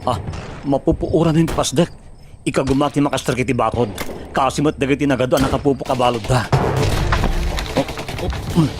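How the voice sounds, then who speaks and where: A man's voice: mid-range voice, fairly quick, long pauses, background noise, lightly acted. A man playing a dogged undercover hero in an Ilocano audio action drama. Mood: tense murmuring. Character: dogged undercover male hero infiltrating a drug gang. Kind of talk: Ilocano radio-style action drama acting. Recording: loud music and effects behind voice.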